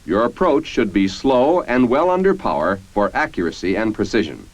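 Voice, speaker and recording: An American man reading scripted 1950s military training-film narration in a formal, measured, instructional manner. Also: lowish voice, very animated. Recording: dull, band-limited 1950s film soundtrack.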